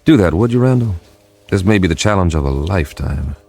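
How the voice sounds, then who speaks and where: Deep, gravelly code-breaker. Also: male voice, lively intonation, brisk, a little mellow, soft background music, full character voice. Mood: intent, pondering. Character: intent, thoughtful male code-breaking expert. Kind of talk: radio drama acting.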